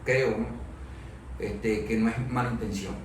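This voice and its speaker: A man's voice: lowish voice, fairly even intonation, a little slow. A man speaking as a panel guest in an unscripted, opinionated discussion, insistently repeating phrases for emphasis. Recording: very echoey room, distant mic, faint noise.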